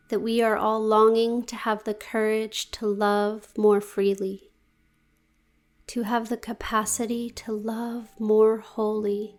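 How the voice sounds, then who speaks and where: A woman guiding a meditation in a gentle, deliberate, soothing manner with long pauses. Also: mid-range voice. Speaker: yoga nidra meditation guide. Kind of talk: guided meditation, scripted narration.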